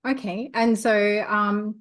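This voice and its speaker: An upbeat woman hosts an interview podcast, welcoming her guest with clear enthusiasm. Her voice sits in a middle register. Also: mid-range voice, fairly even intonation, takes it slowly. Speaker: podcast host. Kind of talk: hosting an interview.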